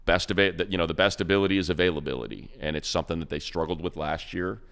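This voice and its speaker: A man co-hosting a sports podcast, breaking down a player with quick, enthusiastic stats and slangy praise. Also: sits low, gravelly, fairly bright tone. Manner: fast, enthusiastic stat-heavy breakdown. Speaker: radio play-by-play announcer, co-host.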